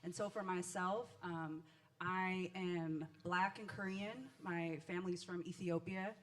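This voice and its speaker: Woman from Los Angeles, American accent, lower-pitched, earnest and searching, an organizer posing a personal question. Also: steady tone, measured pace. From Los Angeles, United States. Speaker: community organizer asking an audience question. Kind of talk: personal, reflective audience question.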